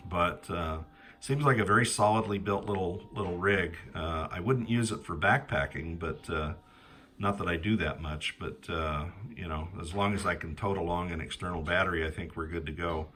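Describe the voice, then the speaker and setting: A middle-aged American man with a very deep, bright and forceful voice. He talks casually over ham radio as an operator, in a halting way with many pauses.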